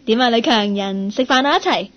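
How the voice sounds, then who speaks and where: An actress plays a friendly, inquisitive young woman in a Cantonese radio drama. Her voice sits high, with a Hong Kong accent, and the recording is slightly dull and lo-fi. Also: expressive, fairly quick, lightly acted. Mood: bright, friendly. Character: curious, friendly young woman, part-time worker, nicknamed Meow. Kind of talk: radio drama acting with first-person narration.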